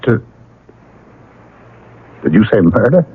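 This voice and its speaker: An actor playing a timid, flustered painter in a radio drama, stammering and pleading. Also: male voice, fairly low pitch, sing-song, takes it slowly, husky, heavily acted. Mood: nervous. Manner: nervous, stammering, swooping. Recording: tinny old radio, faint noise.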